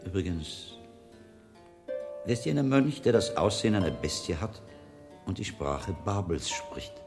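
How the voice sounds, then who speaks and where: Low, gravelly, thoughtful monk. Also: male voice, big pitch swings, music in the background, heavily acted. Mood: probing. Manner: measured, reflective, probing. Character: shrewd, thoughtful monk, former inquisitor, a master. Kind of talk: radio drama acting.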